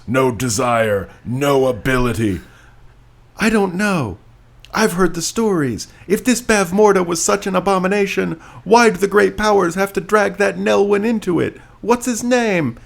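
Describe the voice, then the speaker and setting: A man's voice: high-pitched, unhurried, creaky. A man co-hosting a podcast, moving between casual wry comments about books and dramatic read-alouds. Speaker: podcast co-host.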